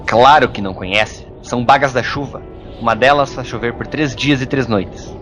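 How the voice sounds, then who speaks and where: A man with a Brazilian accent playing a gruff, insulting hermit monk with magic powers in an audio story. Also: mid-range voice, normal speed, a bit bright, soft background music, lightly acted. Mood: mocking, irritated. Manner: gruff, scornful, exasperated. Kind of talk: audio drama acting.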